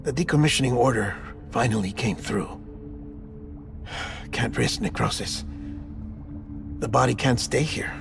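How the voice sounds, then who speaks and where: A man playing a reluctant, measured government official in a cinematic video game, torn between rules and sympathy. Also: medium pitch, gravelly. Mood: somber, careful. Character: reluctant, rule-bound adult male government official, secretly sympathetic. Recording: dramatic music behind the voice.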